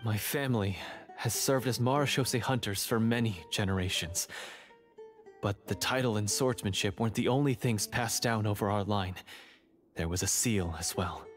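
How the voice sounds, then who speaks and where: An actor playing a troubled young man in a video game, confessing earnestly and haltingly. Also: fairly low pitch, natural voice. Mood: solemn. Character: troubled young man, hunter's son, remorseful. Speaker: game character.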